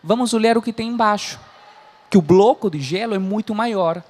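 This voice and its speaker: A man's voice: high voice, moderate pace, a little mellow. A man in his thirties from Chile, speaking Portuguese with a Chilean Spanish accent, giving an earnest motivational talk as a coach. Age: 30s. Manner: earnest, frequent "né?" tags. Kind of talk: motivational talk to a live audience.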